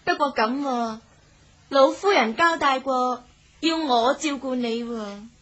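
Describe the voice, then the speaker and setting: An actress playing a plucky, teasing young martial maiden in a radio drama, coy and confident in manner. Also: female voice, high voice, slow pace, a bit bright, lo-fi sound, heavily acted. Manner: coy, playful, confident, sentence-final lilting particles. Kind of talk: Cantonese radio drama acting.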